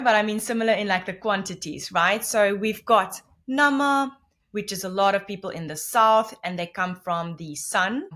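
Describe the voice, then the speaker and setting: Namibian woman, Afrikaans native, interview guest; chatty, loose, self-correcting delivery, mid-pitched. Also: a bit bright. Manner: rambling, self-correcting explanations with many restarts. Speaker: podcast guest. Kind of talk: conversational interview.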